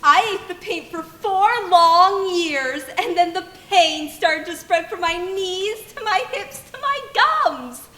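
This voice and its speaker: A young woman acts out an ailing, defiant factory girl on stage. The voice is very high and slow, with dramatic weight. It echoes around the theater over music. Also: expressive, bright, forceful tone, exaggerated voice. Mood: dramatic, pained.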